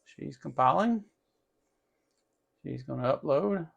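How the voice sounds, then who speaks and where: A man's voice: a little high, lively intonation, slow pace, vocal fry, slightly rough, muffled recording. A man hosting a casual hands-on electronics tutorial, talking informally and thinking aloud as he works. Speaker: host of an electronics tutorial channel.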